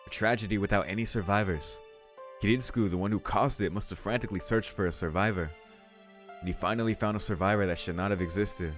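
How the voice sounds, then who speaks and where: Male let's play host: low voice, dramatic story narration, faint music behind. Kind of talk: dramatic reading of visual novel narration.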